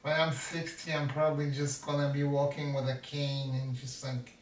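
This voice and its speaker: A man in his fifties rambling in a slow, self-mocking monologue to his vlog viewers, making jokey asides to himself. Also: mid-range voice, little pitch movement, noisy background. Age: 50s. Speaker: vlogger. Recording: roomy, from a distance.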